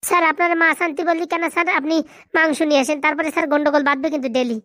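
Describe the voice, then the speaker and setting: High-pitched, very fast, fretful cartoon sidekick woman voicing him. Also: steady tone, bright, forceful tone, no echo, studio quality. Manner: chattering, deferential, colloquial. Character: scheming, fretful male village sidekick with squeaky cartoon voice. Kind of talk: comic Bengali cartoon dialogue.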